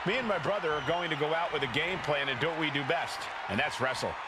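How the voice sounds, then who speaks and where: A man calling a wrestling video game match with excited, dramatic play-by-play commentary. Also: a little high, fast talker. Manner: dramatic, exclamatory. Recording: very loud arena noise behind.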